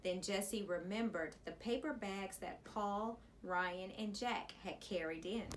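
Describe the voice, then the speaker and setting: A woman reading a children's chapter book aloud as a teacher, in an expressive storytelling style. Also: medium pitch, measured pace, slight background noise.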